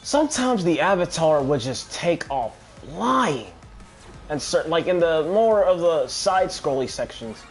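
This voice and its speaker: A man's voice: on the high side, big pitch swings, unhurried. Casting: male gaming host, casual rambling commentary with frequent swearing, prominent game music underneath. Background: loud video game music.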